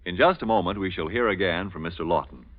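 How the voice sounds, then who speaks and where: A man's voice: low voice, fast, faint music behind. A man with an American accent reading a 1940s radio commercial in a polished, persuasive announcer style. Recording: tinny, band-limited old radio.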